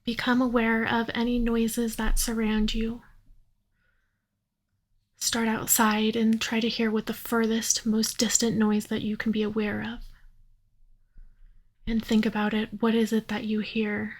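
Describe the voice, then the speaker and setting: A woman leading a guided yoga nidra meditation in a soothing, unhurried manner, leaving long pauses. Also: a little high, monotone.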